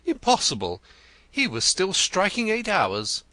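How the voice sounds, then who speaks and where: A vain, effusive poet in a storybook reading, as a male narrator puts him on, gushing in a high voice that leaps up and down. He is florid and stammers when pressed, with a bright tone. The sound is dry and close. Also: huge pitch swings, measured pace, dry recording, full character voice, poor audio. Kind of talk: audiobook character reading.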